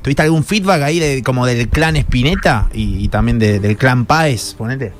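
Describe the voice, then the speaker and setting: A man's voice: medium pitch, moderate pace. A man interviewing on radio in an informal, slangy, chatty way. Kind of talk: casual chat.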